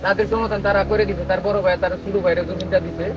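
A man with a Bangladeshi accent playing a brisk village council member mediating in a family drama. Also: sits high, flat delivery, dull audio, natural voice. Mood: matter-of-fact.

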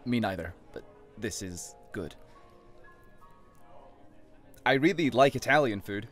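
A man's voice: lowish voice, lively intonation, slow, a bit bright, soft background music. An actor playing an awkward, earnest man on a first date in an audio drama, speaking hesitantly. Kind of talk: naturalistic audio drama acting.

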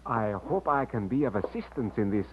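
An actor playing an oily, ingratiating Latin ship's captain, obsequious and unctuous, in an old-time radio drama. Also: male voice, medium pitch, animated, brisk, lightly acted. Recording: muffled, noisy vintage radio recording.

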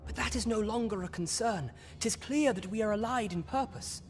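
An actor playing an earnest, secretive young prince in a fantasy game, speaking with polite, careful formality in archaic English. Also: male voice, high-pitched, normal speed. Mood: surprised, earnest. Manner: hushed, formal, courteous. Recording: long hall reverb, music underneath.

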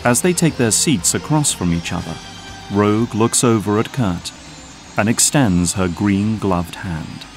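A man's voice: lowish voice, animated, measured pace, faint music behind. A man narrating a scripted audio drama.

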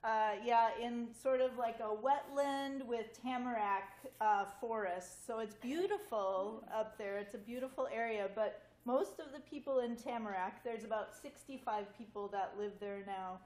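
A woman's voice: a little high, normal speed, hardly any pauses, a little mellow. An American woman in her forties, a guest speaker telling family stories informally to a group. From United States. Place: large wood-paneled community hall. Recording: roomy echo in a hall.

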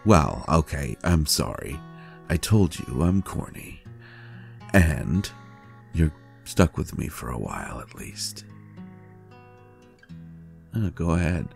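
An ancient male vampire, acted by a man, speaks tenderly to a lover in an intimate audio drama. The voice is extremely low, with gravelly fry and a dark, mellow tone. He is soft, halting and sincere, with a melodramatic streak. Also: big pitch swings, faint music behind, full character voice. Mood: sheepish, affectionate. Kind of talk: intimate roleplay audio acting.

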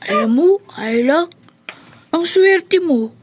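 A woman playing a gentle, wistful child in a Filipino radio drama, plaintive and earnest. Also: very high voice, lively intonation, slow, full character voice. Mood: wistful envy.

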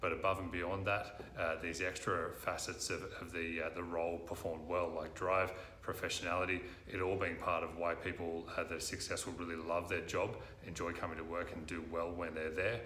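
Deep-voiced Australian man, bright tone. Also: flat delivery, a little fast, few pauses, a little hiss or hum. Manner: earnest, frequent "uh" fillers. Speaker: recruitment company presenter. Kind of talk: direct-to-camera talk. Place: office room, indoors. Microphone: lapel mic. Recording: roomy office echo.

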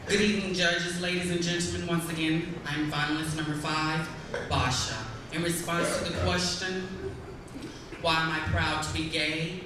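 A man's voice: a little high, little pitch movement, normal speed, a crowd in the background. Emphatic female impersonator in an echoing hall. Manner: emphatic, rising to a declaiming finish. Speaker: pageant contestant. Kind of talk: onstage pageant answer. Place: large stage in a hall. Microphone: handheld mic through hall speakers. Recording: echoey hall taped from far off, noisy.

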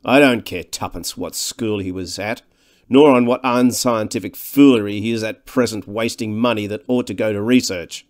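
A man's voice: fairly low pitch, moderate pace, lightly acted. A man voicing a contemptuous, domineering scientist in an audiobook, blunt and scornful. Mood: angry disdain. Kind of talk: audiobook character dialogue.

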